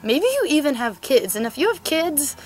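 A woman's voice: high-pitched, sing-song, darkish tone. Chatty nineteen-year-old woman vlogging outdoors. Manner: chatty, earnest, quick asides. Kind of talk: casual talk to camera. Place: outdoors in a sunny yard in cold weather. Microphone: camera mic, medium shot.

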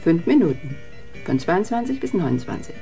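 An actress playing a homely, busy caretaker's wife in a German radio crime drama, chatting casually. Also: female voice, fairly low pitch, very animated, moderate pace, creaky, warm tone, soft background music. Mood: everyday.

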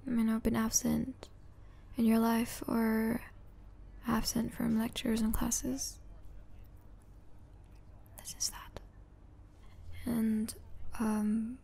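A woman playing a hesitant, apologetic young student and caring sister in a breathy, whispery ASMR roleplay. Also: a little high, mellow, exaggerated voice. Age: young adult. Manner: halting, hesitant. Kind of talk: soft-spoken ASMR roleplay.

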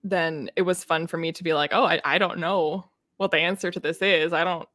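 A young woman co-hosting a book livestream in casual chat, with a wry, animated way of telling things. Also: lowish voice, brisk, dry recording, clean studio sound. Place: small book-lined room at home, on a video call.

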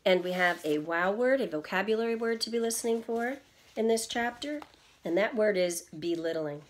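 A middle-aged woman with a mid-range voice reads a children's story aloud. She speaks deliberately, like a teacher explaining things to young listeners, seated indoors a little way from the device recording her. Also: mid-range voice, expressive. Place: indoors at home, seated in an armchair in a small room.

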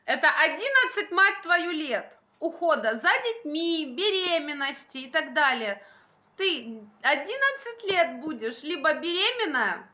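A woman's voice: very high voice, pushed and bright. A young adult woman chatting casually to camera as a vlogger, speaking in an emphatic, colloquial, venting way. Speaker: vlogger, stay-at-home mother. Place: bright room at home by a window, indoors. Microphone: phone at arm's length.

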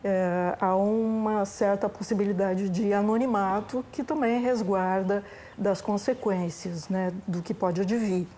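Middle-aged Brazilian female expert, very mellow mid-pitched voice, conversational, frequent tag questions. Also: steady tone, unhurried, few pauses, slight background noise. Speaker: expert interviewee. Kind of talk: conversational explanatory interview. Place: indoors, library room. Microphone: lapel.

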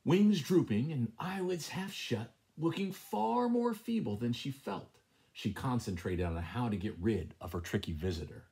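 A middle-aged man with a husky, breathy voice, reading a children's story aloud at home as a teacher, expressively, with chatty asides. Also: medium pitch, expressive, unhurried, mellow. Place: small room at home, indoors. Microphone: handheld tablet at arm's length.

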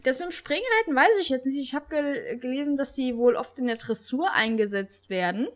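A young woman with a cold, hosting a game video in casual, excited commentary that stumbles now and then while reading aloud. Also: high voice, a little fast. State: has a cold. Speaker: gaming channel host.

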